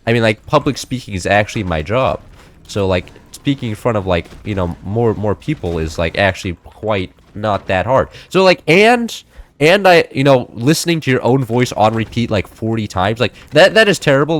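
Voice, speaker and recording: A young man in his late teens chatting loosely while playing a game, rambling with many fillers and restarts, his voice a little high for a man. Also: no echo. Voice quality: slightly high-pitched for a man. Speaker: gameplay streamer. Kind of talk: casual chat while playing.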